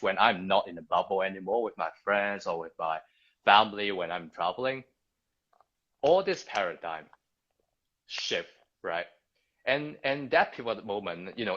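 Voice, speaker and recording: A man from Hong Kong speaking English with a Hong Kong accent, a Cantonese native speaker, telling his story as a guest in a halting way with many restarts and tag questions. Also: lowish voice, a bit bright, lo-fi sound. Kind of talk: conversational storytelling.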